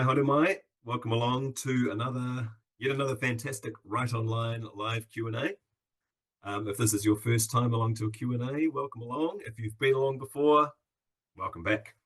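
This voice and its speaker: A man with a New Zealand accent hosting a live video-call Q&A in an upbeat, chatty way, with plenty of fillers. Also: on the low side. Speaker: webinar host.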